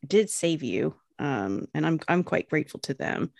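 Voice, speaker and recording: A woman's voice: low-pitched, moderate pace, creaky, no room sound. An American woman hosting a podcast, teasing her guests and swearing freely in casual chat. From United States. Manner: teasing, foul-mouthed, lively. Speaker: podcast host.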